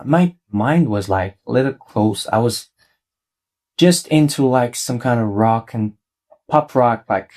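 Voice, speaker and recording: Musician guest, young male, Iranian accent, fairly low pitch, relaxed conversational interview delivery. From Iran. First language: Persian. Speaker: interview guest, singer-songwriter. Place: college radio studio.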